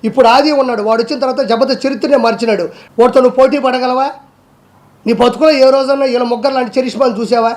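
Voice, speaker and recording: A man's voice: very high voice, fairly even intonation, very fast, darkish tone. A man delivering a heated rebuttal in a combative way, firing off one rhetorical challenge after another.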